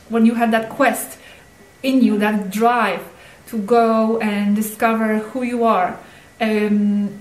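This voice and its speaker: Woman interviewer in an echoey room. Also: on the high side. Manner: repeats and rephrases questions. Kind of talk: spiritual interview, probing questions.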